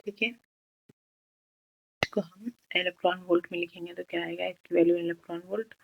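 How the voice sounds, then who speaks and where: A woman's voice: lowish voice, level tone, brisk, gravelly, dull audio, noisy background. A woman with an Indian accent teaching physics as a tutor, explaining step by step and often posing questions that she then answers. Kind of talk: step-by-step problem explanation, Hindi mixed with English terms.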